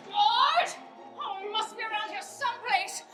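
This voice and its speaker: A woman's voice: very high voice, unhurried, over music. A teenage girl playing a frantic, demanding theatrical diva in a broad stage farce. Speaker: stage actor in a school play.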